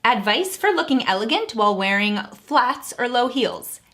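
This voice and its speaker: Young female vlogger, bright and clear tone, upbeat conversational delivery, quick laughs between answers. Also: on the high side, very animated. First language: English. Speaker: vlogger answering viewer questions. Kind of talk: casual chat to camera.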